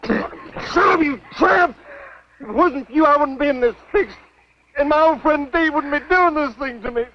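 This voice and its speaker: Shrill, panicked coward begging for life. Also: male voice, moderate pace, background noise. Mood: angry, blaming. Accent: American. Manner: pleading, stammering, whining, repetitive. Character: cowardly, panicked man begging an armed old friend for his life. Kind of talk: 1950s B-movie acting. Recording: dull 1950s film soundtrack with loud music.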